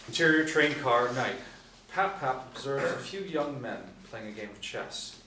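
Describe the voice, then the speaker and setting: A man's voice: mid-range voice, animated, unhurried, sharp tone. A man reading scripted stage directions as narrator at a table read. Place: indoor room, seated reading panel. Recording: roomy, distant mic, faint noise.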